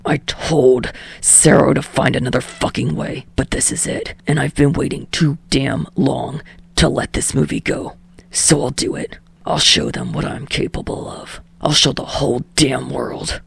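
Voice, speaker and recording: A woman growling as a gravelly, brooding young man. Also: steady tone, measured pace, no echo, heavily acted. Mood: angry. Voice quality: low, hushed and gravelly. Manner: growls and curses through clenched teeth. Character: gruff, brooding young male actor, sweary. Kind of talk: podfic reading.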